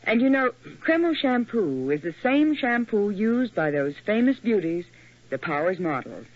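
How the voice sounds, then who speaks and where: A male commercial announcer in the 1940s radio style, brisk and emphatic. His voice is high and bounces with lively inflection. It comes through a dull, lo-fi old broadcast.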